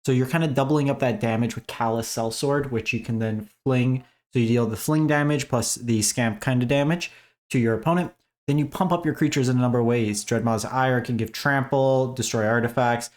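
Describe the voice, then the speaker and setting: A man hosting a casual game strategy breakdown, his voice raspy as he is losing it, talking in a conversational way with many fillers. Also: medium pitch, brisk. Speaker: host. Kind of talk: casual gaming commentary.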